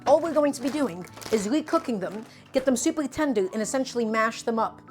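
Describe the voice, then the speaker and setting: A woman's voice: on the high side, animated, a little fast, darkish tone, faint music behind. Chatty woman streaming a home cooking demo. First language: Russian. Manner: chatty, answers viewer chat while cooking. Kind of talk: live cooking demonstration. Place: home kitchen.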